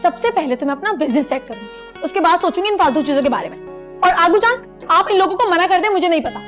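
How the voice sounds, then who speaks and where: A woman's voice: very high voice, animated, fast talker, fairly bright tone, faint music behind. An actress playing a feisty, defiant young woman in a comedy drama, protesting and pleading dramatically. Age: young adult. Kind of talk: Urdu TV comedy drama acting.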